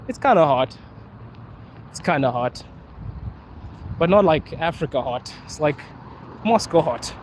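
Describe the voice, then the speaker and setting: Man, from Zimbabwe, casual streamer, slightly high pitch, loose and chatty, audible car noise behind. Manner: relaxed, chatty, says bro and dude. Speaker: vlogger answering live chat. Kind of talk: casual livestream chat. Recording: street noise with passing cars.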